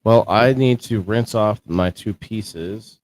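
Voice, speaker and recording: Low-voiced, monotone, dry-sounding man. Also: slow pace, no echo. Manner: low-key, self-described monotone delivery. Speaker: co-host of a live-streamed indoor growing show. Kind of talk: casual livestream chat.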